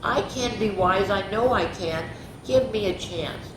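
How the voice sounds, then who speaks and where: A woman voicing an earnest young male storybook owl who likes to sound wise, slowing into sing-song advice. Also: mid-range voice, voice pushed up, close to an everyday voice. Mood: eager. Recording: roomy echo with faint noise.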